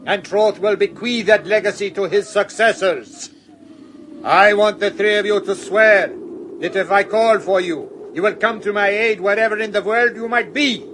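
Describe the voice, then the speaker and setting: A man's voice: high voice, a bit bright, a little hiss or hum. An actor in a radio drama playing a proud older man who speaks solemnly and commandingly to his sworn friends.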